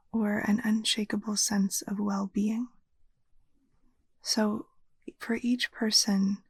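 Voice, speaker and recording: Female meditation guide: very unhurried, hushed breathy delivery with gravelly fry. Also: medium pitch, dry recording, low-quality recording. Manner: soft, breathy near-whisper, soothing. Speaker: yoga nidra meditation teacher.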